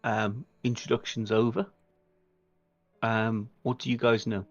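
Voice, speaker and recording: A man narrating a tabletop roleplaying game as game master, in a measured, halting way, recorded a little lo-fi. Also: on the low side, level tone, no room sound. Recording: dull lo-fi mic, music underneath. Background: background music.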